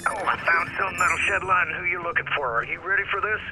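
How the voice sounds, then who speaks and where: A man's voice: high-pitched, fast talker, loud music behind the voice, rough recording. A man playing a frank, wary, streetwise accomplice in a video game drama.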